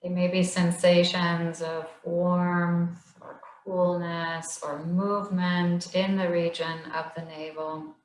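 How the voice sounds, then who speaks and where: A woman slowly leading a guided body scan meditation in a gentle, soothing voice with long pauses. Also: on the low side, level tone, roomy sound, a little hiss or hum, rough recording. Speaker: meditation instructor.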